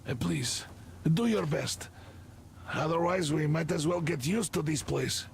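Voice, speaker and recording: A man's voice: on the high side, unhurried, gravelly, slight background noise. An actor playing a worried, overwhelmed male mechanic who talks in a hesitant, fretful way in a video game.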